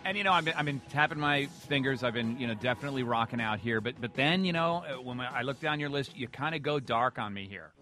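An American man with a very bright, forward voice hosts a conversational radio interview. He is playful and informal, with music faintly behind him. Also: medium pitch, expressive, quick pace, hardly any pauses.

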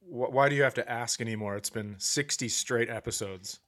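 A man's voice: mid-range voice, normal speed, fairly bright tone. A man from Minnesota hosting a podcast with casual, teasing comedic banter. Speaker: podcast host.

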